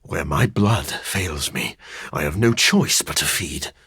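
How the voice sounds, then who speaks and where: Deep breathy vampire scientist for a video game, brooding n formal, deadpan humor, pitch swings around a lot. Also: male voice, slow, no echo, exaggerated voice. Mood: somber, reflective. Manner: formal, articulate, dryly deadpan. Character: brooding, erudite living vampire scientist with dry wit. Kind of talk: video game character acting.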